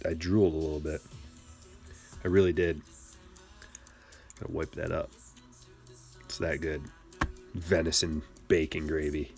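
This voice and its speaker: A man's voice: low voice, darkish tone. A man from Michigan hosting a casual card-break livestream, joking and rambling as he chats with viewers. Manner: playful, joking, rambling banter with chat. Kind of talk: casual livestream chat while opening cards.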